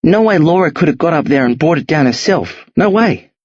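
A man voicing a blunt, streetwise teenage boy who talks urgently and insistently in a husky voice. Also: on the high side, animated, fairly quick, dry recording, lo-fi sound, pitched above the performer's own voice. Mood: impatient. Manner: urgent, insistent, rough slang.

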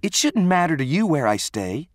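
A man's voice: medium pitch, sing-song, measured pace, no room sound, studio quality, pitched above the performer's own voice. A man voicing a defiant, defensive teenage girl in an audiobook story, curt and indignant.

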